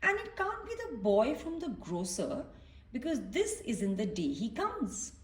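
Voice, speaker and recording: A woman's voice: sits high, huge pitch swings, unhurried, full character voice. A woman voicing a little girl's polite, hospitable mother in a children's storybook read-aloud. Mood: wondering. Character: Sophie's mummy, young girl's welcoming, hospitable mother. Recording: echoey room, distant mic.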